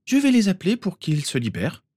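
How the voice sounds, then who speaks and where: Man voicing a motherly witch, middle-aged, fussing, high pitch, husky. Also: huge pitch swings, fast talker, darkish tone, no room sound, full character voice.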